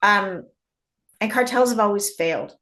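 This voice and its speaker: Canadian-born woman, halting explanatory talk. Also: medium pitch, fairly bright tone. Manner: frequent restarts and repeated words, thinking aloud. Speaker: guest, mining industry expert. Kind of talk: conversational interview.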